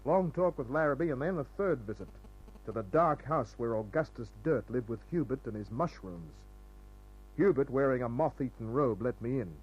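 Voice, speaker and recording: An actor playing a wry, cool-headed private detective in an old radio noir, narrating and talking in terse, clipped phrases. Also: male voice, medium pitch, animated, moderate pace, darkish tone, slightly rough. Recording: muffled old radio recording.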